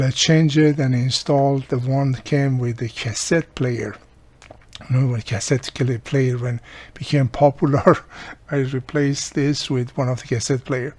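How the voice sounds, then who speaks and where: A man's voice: medium pitch, darkish tone, dry recording. A man giving a casual hands-on repair demonstration, explaining each step plainly as he works. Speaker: radio repairer and video host.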